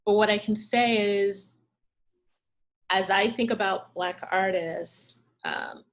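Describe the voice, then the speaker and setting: A woman curator answering questions in a talk, thoughtful and measured, pausing often over a video call. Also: mid-range voice. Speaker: arts curator, guest speaker.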